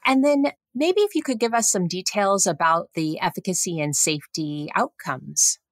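A woman's voice: on the low side, expressive, moderate pace, no echo. A woman hosting a professional medical interview in a conversational style. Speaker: podcast host, physician.

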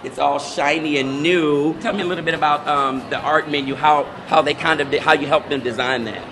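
A man's voice: fairly high pitch, steady tone, a little fast, noisy background. A man hosting an interview at a restaurant opening, upbeat and chatty, laughing often. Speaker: host, interviewer. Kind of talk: casual interview. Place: busy restaurant interior during opening event. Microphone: handheld mic.

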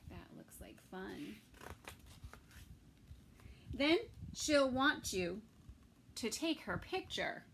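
Middle-aged woman, story time reader for small children. Slow, expressive, fairly high voice, home recording. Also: a little hiss or hum. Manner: slow, expressive, pausing between phrases. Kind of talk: reading a picture book aloud to toddlers. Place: small indoor room with bookshelves.

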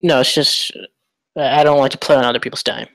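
A man's voice: medium pitch, steady tone, a little fast, a bit bright, no echo, lightly acted, rough recording. A man playing a nervous, awkward poker player, hesitant and stammering, in a table read of a film script.

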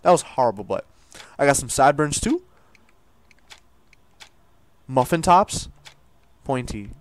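A man riffs on a video game as he plays it, joking and laughing at himself. His voice sits in a middling register and lurches from loud excited squeals down to quiet mumbles. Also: mid-range voice, a little slow. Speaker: gameplay streamer. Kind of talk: casual let's-play commentary.

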